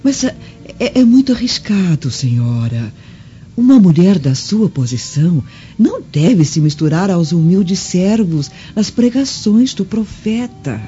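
An actress playing a devoted, cautious slave maid in a Brazilian Portuguese radio drama, deferential and gentle. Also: female voice, on the low side, sing-song, a little slow, breathy edge, heavily acted. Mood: worried. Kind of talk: dubbed radio drama acting. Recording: dull lo-fi audio with music underneath.

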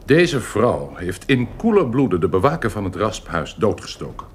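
An actor playing a vindictive, self-righteous informer speaking emphatically in a Dutch radio drama. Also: male voice, lowish voice, big pitch swings, moderate pace, slight background noise. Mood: accusing, insistent. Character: vindictive, insistent male informer denouncing criminals.

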